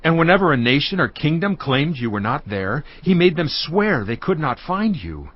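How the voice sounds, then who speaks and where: An agitated servant in a Bible audio drama, pleading and protesting. His highish voice leaps up and down with fear, and the sound is slightly muffled. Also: big pitch swings, moderate pace. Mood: fearful protest. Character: frightened, pleading male palace servant, devout.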